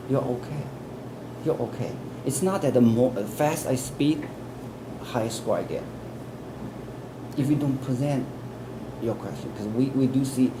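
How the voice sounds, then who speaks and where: A man with a Chinese accent speaks in an interview, earnest and patient, often stalling on repeated words before pressing on with his point. His pitch sits at an ordinary middle. A clear air conditioning hum runs underneath. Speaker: interviewee, English test expert. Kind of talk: explanatory interview answers.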